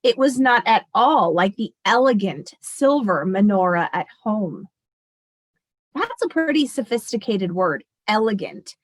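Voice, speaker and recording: A woman's voice: mid-range voice, big pitch swings, measured pace. A woman teacher reading a picture book aloud expressively and explaining it to children as she goes.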